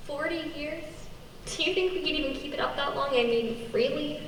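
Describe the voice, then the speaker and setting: A woman plays a spirited, protective heroine in a radio drama, quick to argue. Her high voice rings in a very echoey hall, far from the mic. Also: a bit bright, slight background noise. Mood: teasing. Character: Pamela, spirited, protective, argumentative young heroine. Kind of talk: stage radio drama acting.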